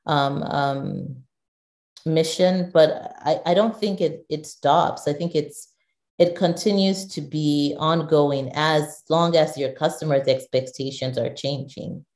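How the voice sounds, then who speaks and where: A middle-aged woman from Nigeria answers interview questions in a low, mellow voice. She speaks thoughtfully, circling back and restarting as she works out her points. The sound has an echoey, roomy quality. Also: fairly even intonation, slow. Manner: thoughtful, with frequent restarts and fillers. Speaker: guest, digital executive. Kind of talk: conversational interview.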